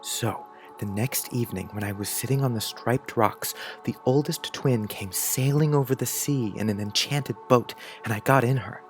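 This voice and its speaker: A man plays an imaginative young schoolboy in an audiobook drama. He tells his fanciful letter with earnest, wide-eyed wonder at a medium pitch, with soft music behind him. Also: moderate pace, close to an everyday voice. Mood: confiding.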